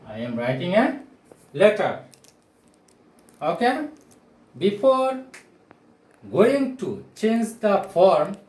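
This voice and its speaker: A man teaches a grammar lesson as a non-native English speaker, in a fairly high voice. He speaks slowly and deliberately, repeating key phrases and posing questions to learners. The sound comes from some distance away from the microphone. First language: not English. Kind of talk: whiteboard grammar lesson, explanatory with rhetorical questions. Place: small indoor room with bare walls, at a whiteboard. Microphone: camera or phone mic a few steps away. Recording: roomy echo, distant camera microphone.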